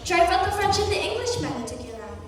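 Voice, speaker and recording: A woman's voice: extremely high pitch, big pitch swings, fast. A young woman acting a hospitable, proud, chatty middle-aged American mother in an amateur stage play. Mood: welcoming, chatty. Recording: echoey hall from far off.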